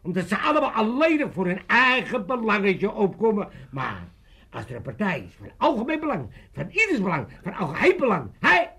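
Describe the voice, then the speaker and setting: High-voiced excitable old father. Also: a little fast, vocal fry, breathy edge, full character voice. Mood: excited, pompous. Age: older. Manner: rambling, stammering, pompous. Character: excitable self-important old Amsterdam father, dreaming of politics. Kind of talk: 1980s comic radio play acting.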